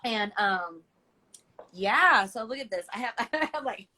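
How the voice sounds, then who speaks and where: Woman, bright forceful tone, slightly high pitch, effusive, giggly, casual guest interviewer. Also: animated, measured pace. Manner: effusive, giggly, uses "like" often. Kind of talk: casual chat.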